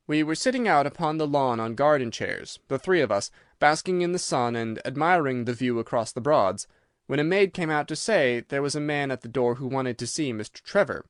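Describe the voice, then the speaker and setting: A man narrating a scripted mystery story in a measured, formal and dramatic storytelling manner. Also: medium pitch, animated, clean tone, no room sound, clean studio sound.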